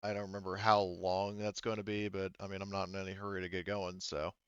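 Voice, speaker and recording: A man's voice: deep voice, little pitch movement, fast, smooth, dry recording, highs cut off, close to an everyday voice. A man voicing an easygoing female soldier in a tabletop game, speaking in an offhand, hesitant, agreeable way. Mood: casual, agreeable. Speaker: game master voicing a character. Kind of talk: improvised tabletop roleplay dialogue.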